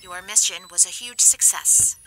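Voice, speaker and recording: A woman plays a brisk, businesslike section chief. Her voice sits low for a woman, with music clearly under her. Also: close to an everyday voice, low-quality recording.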